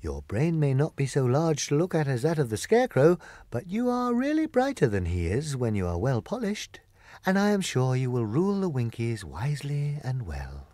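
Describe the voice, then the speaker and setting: A man voicing a gracious, wise good witch who speaks in a measured, regal manner in a storybook reading. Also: medium pitch, wildly swooping pitch, warm tone, dry recording.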